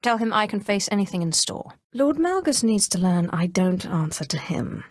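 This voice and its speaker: Casting: haughty female dark lord for a video game, controlled menace, commanding delivery, close dry sound. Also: mid-range voice, very animated, moderate pace, no room sound. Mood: haughty, assured. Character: imperious, coolly menacing female Sith sorceress of rank. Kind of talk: scripted video game voice acting.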